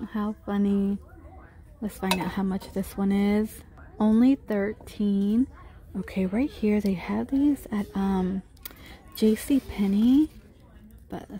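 A woman's voice: mid-range voice, warm tone. Chatty woman gushing over store finds. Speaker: shopping vlogger. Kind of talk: shop-with-me walkthrough. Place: large retail store, indoors. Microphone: handheld phone camera.

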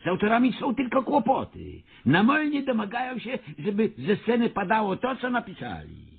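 An actor playing a pompous, excitable avant-garde theatre director in a radio comedy, stammering and grandiloquent. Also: male voice, high-pitched, moderate pace, gravelly, slightly rough, narrow, tinny audio, full character voice. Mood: dismissive, self-assured. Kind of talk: radio comedy play acting.

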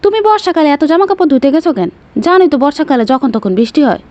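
A woman's voice: sits high, big pitch swings, racing, mellow, no echo, muffled recording. A woman voicing a sulky, put-upon cartoon youngster with a Bangladeshi Bengali accent, whining indignantly in colloquial speech. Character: sulky, put-upon grown child of a nagging mother.

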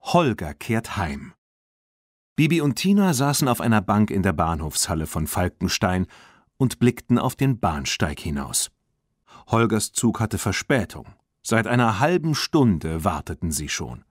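A male audiobook narrator with a fairly low voice tells a children's story. His reading is scripted and expressive, recorded very dry with no room sound. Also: unhurried, no echo.

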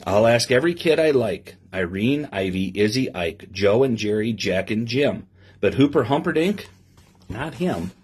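A middle-aged man reading aloud to children in an expressive sing-song way, with simple asides to his listeners. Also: mid-range voice, measured pace. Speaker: police lieutenant reading to children. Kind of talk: read-aloud story time with asides to young listeners. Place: small office, indoors. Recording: clear background noise with clicks.

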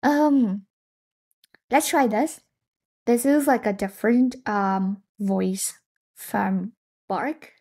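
Young woman, tech tutorial host; slow, easygoing delivery, talking to a webcam indoors. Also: medium pitch, mellow, slightly rough. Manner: thinking aloud, reacting to results. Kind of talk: casual screen-share tutorial. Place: indoor room.

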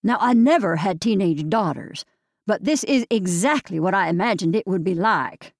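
A woman narrator voicing a gentle, motherly older woman with a slow Southern accent. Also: medium pitch, lively intonation, dry recording, studio quality. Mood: earnest. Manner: slow drawl.

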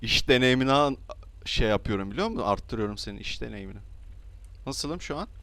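A man chatting casually and joking while roleplaying in an online game, with animated banter. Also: mid-range voice, fairly quick, a little hiss or hum. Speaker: gaming video streamer.

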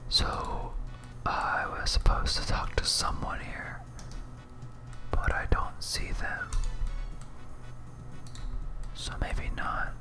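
A whispered, breathy voice gives ASMR gameplay commentary at a slow pace. The delivery is hesitant and rambling, often self-deprecating. Music plays clearly behind it. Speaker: host, game streamer.

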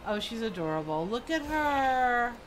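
A woman's voice: fairly high pitch, very animated, a little slow, slight background noise. A woman streamer giving casual, chatty live commentary on a video game, reacting aloud and reading bits of quest text.

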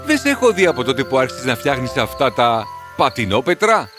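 A man's voice: medium pitch, sing-song, over music, close to an everyday voice. A man voicing an earnest, hardworking Stone Age father in a cartoon, exclamatory and affectionate. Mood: warm, impressed. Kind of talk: cartoon fairy tale dialogue.

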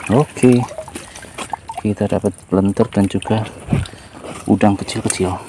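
A man's voice: on the low side, fairly even intonation, unhurried, background noise. A man casually commenting on his catch for viewers, eager and chatty, outdoors in a shallow river. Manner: eager, addresses viewers as friends. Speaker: fishing vlogger.

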